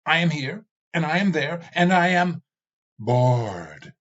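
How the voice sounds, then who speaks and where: A booming, all-seeing demon king, weary of eternity and raging at existence, as a man puts him on. His voice rides well above the reader's own, swinging widely as he drags out each proclamation. The storybook recording sounds dull and lo-fi. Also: very animated, slow, a bit bright, breathy edge, heavily acted.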